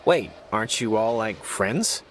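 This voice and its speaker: A man with an American accent playing an awkward, laid-back teenage newcomer in a video game, hesitant and low-key. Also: animated, a little slow, fairly bright tone, slight background noise. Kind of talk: naturalistic video game acting.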